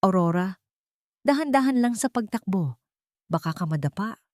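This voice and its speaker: A woman with a Filipino accent voicing a gentle, forgiving young wife and mother in a romance audiobook, tender and earnest. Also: medium pitch, animated, normal speed, no room sound, clean studio sound, close to an everyday voice. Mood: gently cautioning. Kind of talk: audiobook character dialogue.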